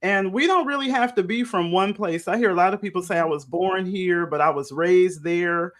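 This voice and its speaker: A woman's voice: lowish voice, moderate pace. A woman hosting a coaching interview, enthusiastically affirming her guest's answers before asking the next question. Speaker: host, life coach.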